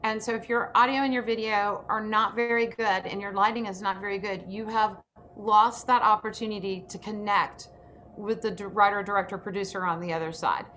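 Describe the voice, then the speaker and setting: A woman's voice: medium pitch, steady tone, brisk, a bit bright, slight background noise. A woman hosting a workshop, explaining her advice emphatically as a coach in a live online session. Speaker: panel host and acting coach.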